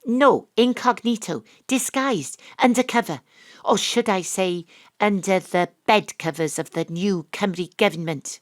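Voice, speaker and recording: An actress playing a brisk, sardonic female private investigator in an audio drama, telling the story in a dramatic, knowing way. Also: mid-range voice, no room sound, clean studio sound, lightly acted. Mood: assured. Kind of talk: audio drama narration and dialogue, hard-boiled detective parody.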